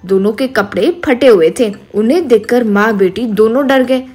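A woman's voice: a little high, moderate pace, a little mellow. A woman narrating a scripted storybook tale as the narrator.

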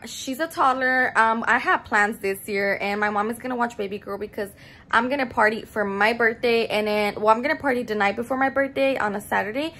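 A woman's voice: on the high side, fairly bright tone. A woman in her early twenties chatting to her phone camera in a bubbly way, calling her viewers "y'all". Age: early 20s. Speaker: vlogger. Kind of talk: casual chat to camera. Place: home bedroom, then car and stores. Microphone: phone camera at arm's length.

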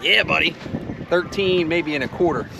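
A middle-aged man talks through his fishing trip in a chatty, upbeat way, his voice sitting a bit high. He is on the open deck of a boat at sea, picked up by an action camera. Loud wind and boat noise roar behind him. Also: normal speed, vocal fry. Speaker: fishing vlogger. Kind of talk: casual fishing commentary.

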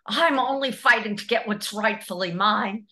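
Woman playing a bright-voiced, combative aggrieved aunt. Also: medium pitch, moderate pace, natural voice. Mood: indignant, combative. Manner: combative, blunt. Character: feisty, aggrieved Italian-American aunt, quick to anger. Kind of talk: script table read. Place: indoors at home, on a video call.

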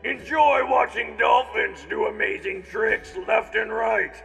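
Pompous mad scientist showman: high, forceful, gravelly, booming in long reverb. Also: steady tone, slow, faint music behind, exaggerated voice. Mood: grandly welcoming. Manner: booming announcer delivery. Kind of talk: park announcement in cartoon fandub. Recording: long echoing announcement reverb.

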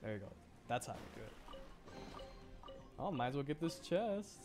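A man's voice: medium pitch, very animated, normal speed, over music. A man streaming a video game, reacting casually and thinking aloud in short exclamations. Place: livestream voice-over with game music and sounds behind.

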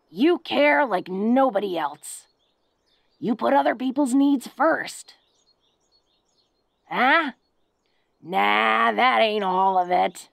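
Woman acting a slow, gravelly, awkward orc girlfriend. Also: mid-range voice, dry recording. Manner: folksy, hesitant, stammering, earnest. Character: tough, awkward, tender-hearted young female orc girlfriend. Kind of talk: intimate romantic audio roleplay.

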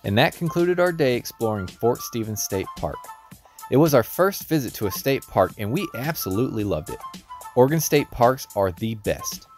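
A man's voice: mid-range voice, normal speed, over music. A man from Texas talking casually while exploring an old concrete fort for a travel vlog. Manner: excited, exploring on the move. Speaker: travel vlogger.

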